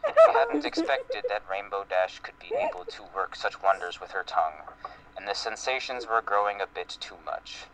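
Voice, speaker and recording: A man's voice: fairly low pitch, huge pitch swings, normal speed, over a noisy crowd. A man reading fan fiction aloud as part of an amateur group dramatic reading. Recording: faintly noisy home voice-chat recording.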